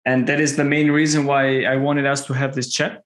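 A man's voice: mid-range voice, level tone, moderate pace, fairly bright tone. A young man hosting a podcast over a video call, speaking hesitantly with many fillers and restarts. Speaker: podcast host. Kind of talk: casual interview chat.

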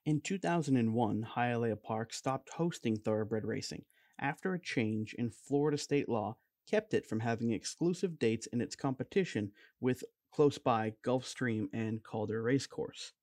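A man reading a scripted documentary narration in an even, measured way. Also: mid-range voice, no echo, clean studio sound.

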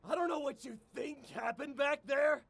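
A man's voice: very high voice, moderate pace, vocal fry, a bit bright, slight background noise, exaggerated voice. A man playing a hot-headed, foul-mouthed teenage boy bully in a comedic anime parody, snapping aggressively. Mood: angry.

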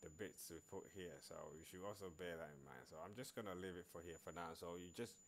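A man narrating an informal programming screencast in a halting way, repeating words as he works. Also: deep voice, a little hiss or hum. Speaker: programming tutorial presenter. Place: screen recording voice-over.

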